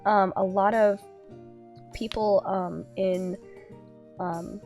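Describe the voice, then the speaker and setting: A woman's voice: mid-range voice, fairly even intonation, extremely slow, darkish tone. Young female podcast co-host, nasal with a cold, giggly and filler-heavy, faint music bed. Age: young adult. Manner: giggly, chatty, many fillers. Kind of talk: casual podcast chat.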